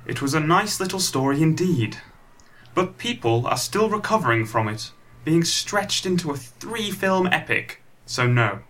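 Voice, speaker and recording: A man's voice: fairly high pitch, animated, a little slow, a bit bright. A man playing a wry, impatient narrator telling a comic pantomime story from a script.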